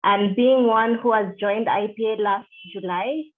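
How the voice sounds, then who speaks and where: A middle-aged woman from Kenya answers interview questions over a video call. She talks in a measured way, pausing on fillers and restarting her phrases. Her voice comes through thin and tinny, as over a call line. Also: mid-range voice. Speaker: interviewee, deputy country director.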